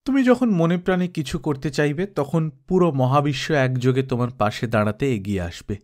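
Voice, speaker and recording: A man voicing a wise old king who gives gentle, solemn advice. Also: mid-range voice, animated, moderate pace, lightly acted.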